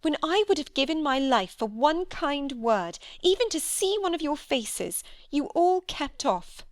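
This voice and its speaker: A woman's voice: high-pitched, sing-song, measured pace, dry recording, studio quality, voice pushed up. A woman voicing a haughty, scornful young lady. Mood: bitter. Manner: cold, disdainful delivery.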